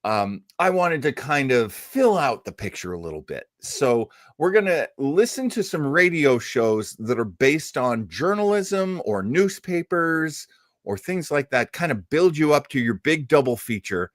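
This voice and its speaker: Man, radio host, casual rambling chat, halting delivery, animated intonation, dry close sound. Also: fairly high pitch, dry recording. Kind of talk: casual chat introducing old radio shows.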